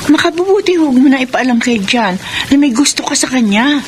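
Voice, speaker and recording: A fairly high young female voice, a gossipy, plain-spoken village cousin in a radio drama, with faint ambient noise around her. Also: expressive, fairly quick. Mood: grave, cautioning. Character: gossipy, frank young village cousin bearing bad news. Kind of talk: Filipino radio drama acting.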